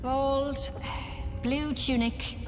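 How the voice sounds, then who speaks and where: A woman's voice: sits high, fairly even intonation, drawn-out words. A woman voicing a blunt, scornful dwarf wife in a video game, dry and dismissive in her delivery. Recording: long reverb, music behind.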